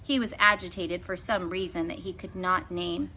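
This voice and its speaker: A woman reading a children's book aloud expressively, with emphatic phrasing and dramatic pauses. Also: on the low side, fairly even intonation, sharp tone, low-quality recording. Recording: faint background noise.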